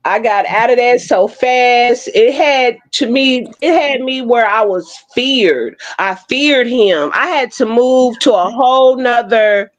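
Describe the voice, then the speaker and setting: A woman in her fifties chatting frankly on an online panel, telling her own stories with lively, blunt humor. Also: fairly high pitch, slow, hardly any pauses. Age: 50s. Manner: frank, animated storytelling with Southern-inflected slang. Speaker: panel co-host. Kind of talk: casual chat. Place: Oklahoma City.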